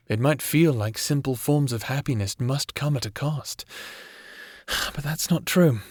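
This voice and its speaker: An actor playing a gentle, encouraging therapist in an audio drama, speaking in a measured, tentative and attentive professional way. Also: male voice, medium pitch, no room sound, clean studio sound, lightly acted. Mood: gentle, reassuring. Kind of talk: audio drama roleplay acting, one-on-one session.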